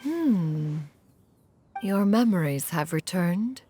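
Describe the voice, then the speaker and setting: An actress voicing a dignified, lofty immortal with formal, archaic, aloof delivery in an anime game. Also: female voice, fairly low pitch, animated, slow pace, dark tone, soft background music. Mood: grave. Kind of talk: anime video game acting.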